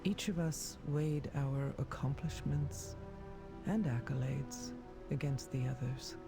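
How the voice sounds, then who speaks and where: A woman's voice: rumbling low, steady tone, slow, vocal fry, over music, heavily acted. An actress voicing an earnest female leader of a stone people in a dramatic fantasy game. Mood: somber reflection.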